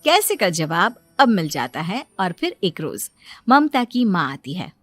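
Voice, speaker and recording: A woman's voice: on the low side, very animated, measured pace, fairly bright tone, soft background music. A woman reading a scripted story as its narrator.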